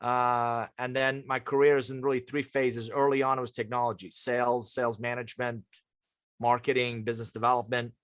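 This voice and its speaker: A man's voice: mid-range voice, flat delivery, a little fast, fairly bright tone, no echo. A man in his fifties from Iran, a native Persian speaker, talking conversationally and emphatically as an interview guest who coaches leaders. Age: 50s.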